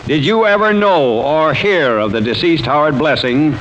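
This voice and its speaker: An actor playing an earnest, probing defense attorney, formal and insistent in old-time radio courtroom style. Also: male voice, fairly high pitch, moderate pace, a bit bright, lo-fi sound, natural voice. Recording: noisy old radio recording.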